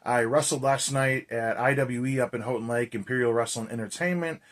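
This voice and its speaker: Hoarse, chatty male horror vlogger. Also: medium pitch, brisk. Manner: energetic, chatty, loose with fillers. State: hoarse, raspy from yelling. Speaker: horror movie YouTuber. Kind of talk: casual vlog chat.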